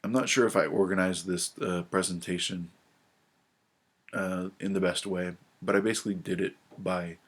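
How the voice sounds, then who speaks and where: A man with a stuffed-up, nasal voice from a cold, talking casually to camera and reading from his notes with many ums and restarts. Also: sits low. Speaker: astrology video presenter.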